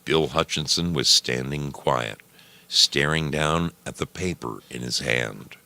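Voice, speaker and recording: A man narrating a short story as a program's storyteller, deliberate and theatrical in his delivery. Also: very deep voice, gravelly, bright, forceful tone.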